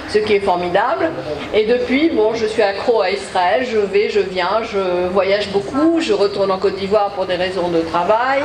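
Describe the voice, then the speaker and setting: An older woman from Egypt, introducing herself to an audience in a hesitant way, with many pauses. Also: mid-range voice. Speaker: congress participant introducing herself. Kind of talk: informal speech to an audience. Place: large echoey hall. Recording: echoey hall audio from far off.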